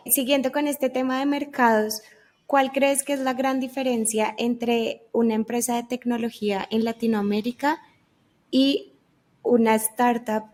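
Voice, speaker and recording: A woman's voice: on the high side, darkish tone. A woman hosting an interview, asking friendly, encouraging follow-up questions in a casual tone. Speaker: interview host.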